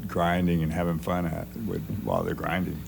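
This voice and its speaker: Middle-aged man, coach type, low gravelly voice, easygoing and plain-spoken, hum under the recording. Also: a little fast. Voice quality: deep voice that does not carry. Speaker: jiu-jitsu coach. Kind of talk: podcast interview guest. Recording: steady background hum.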